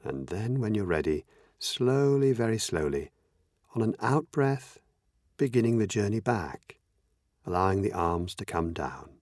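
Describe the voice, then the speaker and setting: A man with a fairly low voice guides a meditation in a close, dry recording. His delivery is gentle and measured, with lively rises and falls in pitch. He leaves long pauses between slow, simple instructions. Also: dry recording. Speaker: meditation teacher.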